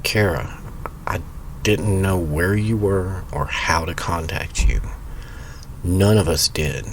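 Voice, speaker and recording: A man playing a deep-voiced paternal family friend. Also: takes it slowly, a little hiss or hum. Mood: gentle. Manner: soft-spoken and careful. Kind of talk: audio drama acting.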